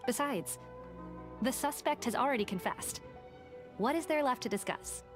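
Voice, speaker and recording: A woman's voice: sits high, animated, normal speed, faint music behind. A woman voicing a shrewd, self-assured detective for hire in a video game, articulate and businesslike. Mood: matter-of-fact. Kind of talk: English video game voice acting, explanatory dialogue.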